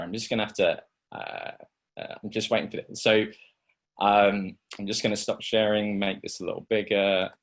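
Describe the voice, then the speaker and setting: A man giving a startup pitch over a video call, with many ums and restarts. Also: slightly rough. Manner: hesitant, many fillers. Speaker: startup presenter. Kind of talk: pitch presentation.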